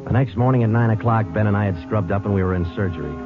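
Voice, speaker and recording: An actor playing a calm, matter-of-fact American police detective in a radio crime drama, clipped and understated as he talks. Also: lowish voice, racing, lightly acted. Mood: grave, measured. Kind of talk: 1950s radio crime drama acting and narration. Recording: muffled old-time radio, music underneath.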